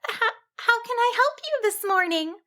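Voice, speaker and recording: A woman's voice: very high voice, a little slow, pushed and bright, voice pushed up, exaggerated voice. A woman voicing a nervous little female sheep who stammers, in a storybook reading. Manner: stammering, shaky.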